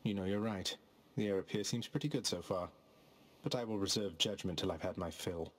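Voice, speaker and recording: A wry, low-voiced young god. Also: male voice, brisk, fairly bright tone. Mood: sardonic. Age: young adult. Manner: wry, sardonic, casually polite. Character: cocky, wry young god, rebellious son of underworld lord. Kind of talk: video game voice acting.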